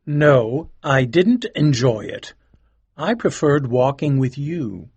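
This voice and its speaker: A man voicing a stiff, serious young gentleman who speaks earnestly and with disapproval. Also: medium pitch, lively intonation, slow pace, husky, no echo, highs cut off, pitched above the performer's own voice, heavily acted.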